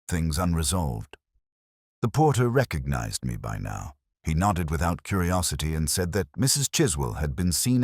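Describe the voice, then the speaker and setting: A man narrating a literary mystery in the first person, in a measured, melancholy and solemn way. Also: a bass voice, husky, dry recording, studio quality.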